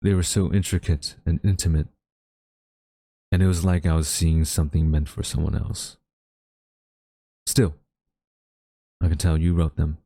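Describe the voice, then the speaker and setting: A male actor plays a haughty government agent with a low, gravelly voice. He addresses his captive former friend with smug, theatrical deliberation and an undertone of regret, in a very dry, close audio drama recording. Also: little pitch movement, a little slow, pauses often, warm tone, no room sound, heavily acted. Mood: bitter, wistful. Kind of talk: dramatic audio roleplay monologue.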